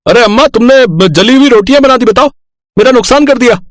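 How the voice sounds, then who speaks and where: A man's voice: extremely high pitch, a little fast, dry recording, studio quality. A man voicing an angry, hard-nosed roadside eatery owner, blunt and scolding, as a storybook character.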